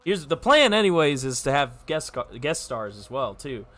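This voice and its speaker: A man's voice: a little high, sing-song, normal speed, fairly bright tone. A man chatting casually as a podcast guest, agreeable and easygoing, with plenty of slang.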